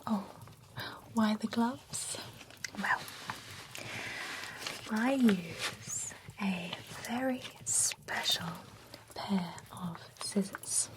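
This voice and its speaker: A woman's voice: medium pitch, drawn-out words, long pauses, low-quality recording. Woman whispering as a gossipy vampire groomer. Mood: whispery, reassuring. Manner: soft whispering, gossipy and reassuring. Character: chatty female vampire groomer at a spa. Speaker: ASMR roleplay performer. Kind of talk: whispered ASMR roleplay.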